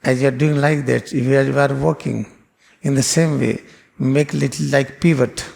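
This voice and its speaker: A man's voice: mid-range voice, fairly even intonation, moderate pace, creaky, a little mellow. A man, a spiritual teacher, explaining scripture in an earnest, repetitive lecture with phrasing. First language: Hindi.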